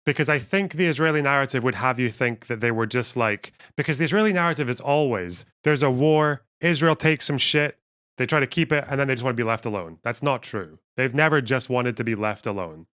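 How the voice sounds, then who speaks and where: A man's voice: mid-range voice, a little fast, a bit bright. A man hosting a livestream, thinking aloud in a rambling, wry way while reading out chat. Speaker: livestream host.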